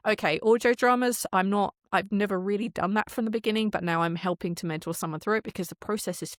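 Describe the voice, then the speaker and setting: A woman's voice: medium pitch, quick pace, dry recording, studio quality. A woman talking casually as an interview guest, explaining how audio production works and often voicing imagined quotes as she goes.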